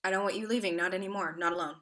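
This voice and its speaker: A woman's voice: on the low side, steady tone, racing, a bit bright, natural voice. An actress playing a worried, devoted girlfriend in a staged play reading, coaxing and pressing her partner with questions. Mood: pleading.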